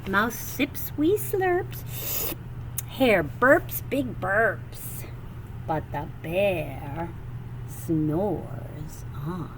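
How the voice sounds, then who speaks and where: Slow, lively woman reading a storybook. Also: lowish voice, background noise. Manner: animated, sing-song storytelling with drawn-out refrains. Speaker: storybook reader. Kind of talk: expressive children's read-aloud.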